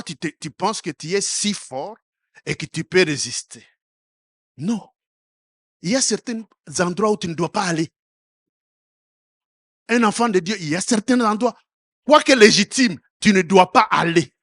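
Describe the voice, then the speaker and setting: A man preaching a sermon as pastor, with a first language other than French, who repeats and restarts his words and rises to loud exhortation before dropping quiet again. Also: high voice, lively intonation, unhurried, long pauses, no room sound, clean studio sound. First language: another African language.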